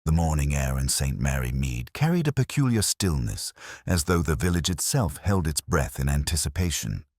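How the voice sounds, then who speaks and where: A man narrating a scripted mystery story in a measured, formal storytelling manner. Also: rumbling low, big pitch swings, darkish tone, dry recording, studio quality. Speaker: audiobook narrator.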